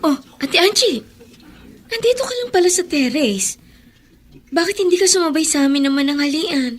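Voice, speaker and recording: A woman's voice: sits high, animated, normal speed. An outspoken, protective woman. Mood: frank. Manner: frank and earnest. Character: caring younger cousin, outspoken and protective. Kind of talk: radio drama acting.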